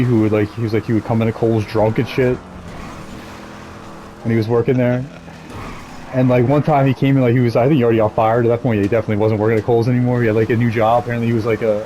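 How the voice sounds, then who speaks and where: Man, quick rambling storyteller, casual and sweary, amused, soft mellow tone, faint game noise behind. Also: mid-range voice, flat delivery, low-quality recording. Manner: rambling, profane; amused, laughs while telling stories. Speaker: gameplay video creator telling stories. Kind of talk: casual storytelling over gameplay.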